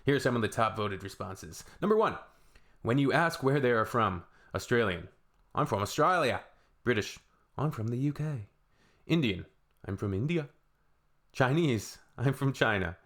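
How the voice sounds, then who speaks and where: A man's voice: medium pitch, animated, normal speed, long pauses, a bit bright. A man, hosting a reaction video in a loose, rambling way with shrugging asides. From Canada. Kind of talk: casual reaction commentary.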